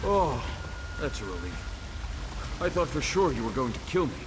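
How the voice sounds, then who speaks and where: An actor playing a boastful but cowardly cultist in a video game, theatrical and blustering. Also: male voice, medium pitch, sing-song, normal speed, natural voice. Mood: pleading, cowardly. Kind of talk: video game character acting. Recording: echoey, distant, loud background noise.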